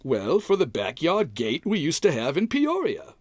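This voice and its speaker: A man plays a folksy, stubborn husband from an old-time radio comedy. His pitch swings widely as he hems and haws, and the sound is dry and close. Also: wildly swooping pitch, normal speed, no room sound. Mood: proudly defensive. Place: recorded at home, dry and close.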